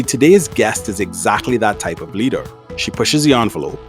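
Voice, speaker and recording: A low-pitched male podcast host with a relaxed, conversational delivery. He often repeats words as he starts a question. Soft music plays faintly behind him. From Caribbean. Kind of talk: interview podcast with sponsor read.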